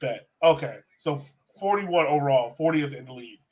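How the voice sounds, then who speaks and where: A man's voice: medium pitch, animated, moderate pace, darkish tone. A man hosting a casual sports fan podcast, talking in a conversational, self-questioning way. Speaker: podcast host.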